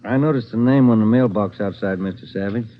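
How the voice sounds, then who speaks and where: An actor playing a casual, observant police detective partner in a 1940s radio drama, plain-spoken and inquisitive. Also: male voice, fairly low pitch, brisk, lightly acted. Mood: probing. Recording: very noisy, muffled 1940s radio recording.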